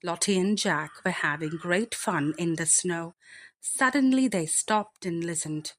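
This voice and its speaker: A woman reads a picture book aloud for young children. Her voice sits a little low and she takes her time, very slowly, with deliberate and expressive phrasing. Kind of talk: slow read-aloud bedtime storytelling.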